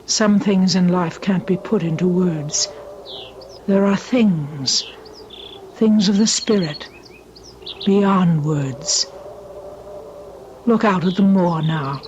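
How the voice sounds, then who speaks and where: An actress playing a cryptic, ominous manor housekeeper in an old radio drama, speaking gravely and formally. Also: female voice, fairly low pitch, a little mellow. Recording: dull, faintly noisy old radio recording. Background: birdsong.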